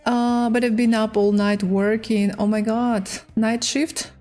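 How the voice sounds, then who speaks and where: A woman with a Russian accent, a native Russian speaker, hosting a casual chess livestream in halting, hesitant English. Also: on the high side, little pitch movement, warm tone, faint music behind. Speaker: livestream host and chess player. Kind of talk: casual livestream chat while playing chess.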